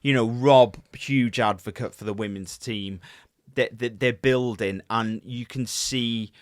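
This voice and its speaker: A man co-hosts a casual podcast and talks in an animated, rambling way, full of fillers and restarts. His voice sits in a middle pitch range. The recording is dry and close-miked. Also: unhurried, no room sound. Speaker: podcast co-host.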